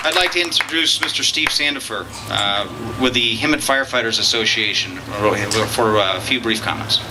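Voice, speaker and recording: A man's voice: lowish voice, moderate pace. Earnest man emceeing a rally. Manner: earnest, rhetorical questions. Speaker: rally emcee and law enforcement speaker. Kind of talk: public speech at a political rally. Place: outdoor campaign rally. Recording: outdoor rally with clear background noise.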